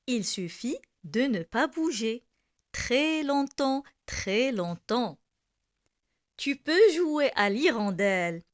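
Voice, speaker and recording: A woman with a high voice recites a poem for young learners. Her delivery is extremely slow and deliberate, leaving space between each short phrase. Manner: deliberate, with repeated lines and pauses.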